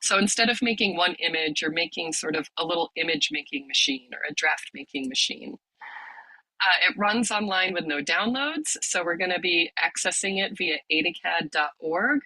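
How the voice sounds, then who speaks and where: A woman leading an online workshop on a video call, explaining the steps in a friendly way with many ums, a little hoarse from a cold. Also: fairly low pitch, expressive, normal speed, pushed and bright, low-quality recording. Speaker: workshop leader, lab director.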